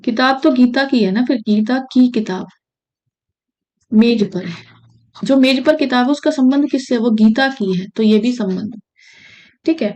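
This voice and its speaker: A woman's voice: fairly high pitch, unhurried, long pauses, a little mellow. A woman from India teaching an exam-prep grammar lesson. She repeats key phrases and keeps checking in with her students.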